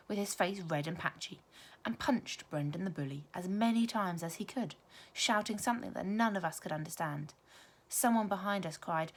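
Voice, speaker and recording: A woman teacher reading a children's story aloud, with expressive, emphatic storytelling. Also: on the low side.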